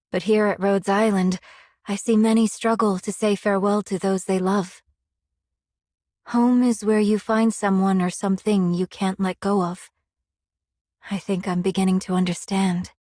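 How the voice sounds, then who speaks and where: A woman's voice: mid-range voice, fairly even intonation, normal speed, darkish tone, no echo, clean studio sound, close to an everyday voice. An actress voicing a serene, compassionate woman in a video game, speaking reflectively. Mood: pensive. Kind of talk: scripted game character lines.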